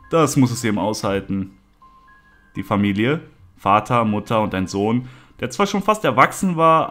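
A man reads a scripted horror story as a narrator. His voice sits at a medium pitch, and faint music plays behind him. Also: fairly bright tone.

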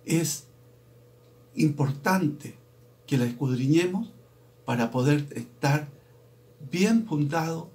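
A man in his fifties, a preacher's assistant, giving a slow sermon with a Bible reading, often clearing his throat, recorded on a webcam in a small room at home. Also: a little high, expressive, darkish tone. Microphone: webcam at desk, video call framing.

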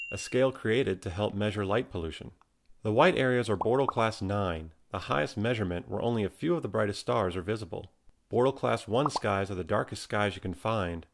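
A man's voice: on the low side, vocal fry. A man with an American accent reading scripted documentary narration. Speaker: narrator and nature photographer. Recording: music behind. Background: music.

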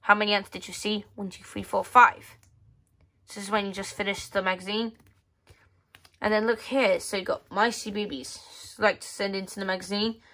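Casual female read-aloud voice, medium pitch, halting, with offhand muttered asides. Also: little pitch movement, sharp tone. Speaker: magazine reviewer. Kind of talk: casual read-aloud walkthrough of a children's magazine. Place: indoors at a tabletop.

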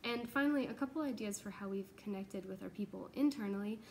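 A woman's voice: medium pitch, quick pace, vocal fry, darkish tone, a little hiss or hum. A young American woman giving an earnest, explanatory, informal video update as a church pastoral associate. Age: young adult. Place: large open indoor office space with exposed ductwork.

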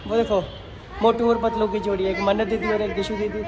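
A man's voice: high voice, level tone, brisk. A young man chatting casually to viewers while filming a vlog on his phone, talking to them as guys and friends. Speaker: vlogger. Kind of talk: casual vlog narration to camera. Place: outdoors in town and in a busy restaurant. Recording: noisy phone vlog with music and crowd. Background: music and crowd chatter.

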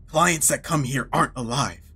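A man reading a grim male cannibal killer's lines in a lowered, grave voice. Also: normal speed, bright, forceful tone, husky, exaggerated voice. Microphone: headset.

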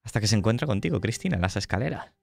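Slow dramatic male game master. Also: fairly low pitch, animated, vocal fry, dry recording. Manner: slow, dramatic, describing scenes. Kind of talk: narrating a tabletop horror game.

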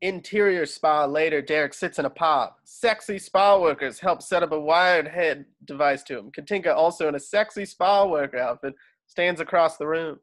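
A man with a fairly high voice serves as narrator. He reads out scripted stage directions for a table read on a video call. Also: normal speed, fairly bright tone.

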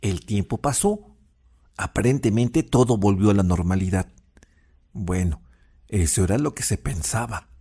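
A man's voice: on the low side, animated, measured pace, pauses often, warm tone, slightly rough, exaggerated voice. An actor playing an old grandfather who narrates an eerie legend with suspense in an audio drama. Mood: suspenseful.

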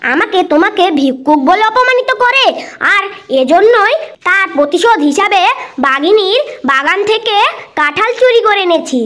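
A woman's voice: extremely high pitch, expressive, moderate pace, fairly bright tone. A woman voicing a brash, scheming young female fox in a cartoon, bold and chatty. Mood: vengeful, gleeful.

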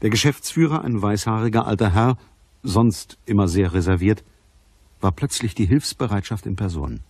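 An actor playing a businesslike, courteous private detective in a radio crime drama, with a husky voice and a measured, matter-of-fact manner. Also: male voice, fairly low pitch, a little mellow. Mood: dry, narrating. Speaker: narrator and lead actor.

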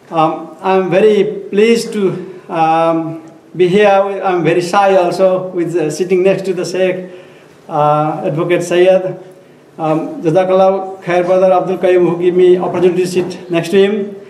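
Shy guy opening the event, broken english, high flat voice, echoey. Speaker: mosque representative opening the event. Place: mosque meeting room. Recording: echoey room.

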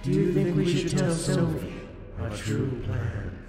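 A man's voice: fairly high pitch, sing-song, a little slow, music in the background. A man voicing a hooded, sinister, scheming ruler in a dramatic video game. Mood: sinister musing.

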